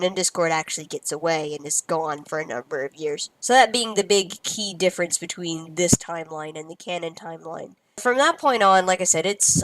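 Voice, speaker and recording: A woman host talks casually through a review in a slightly low voice. She explains informally and tentatively, in a dry, close recording. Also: a bit bright, dry recording. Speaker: fan fiction reviewer and host.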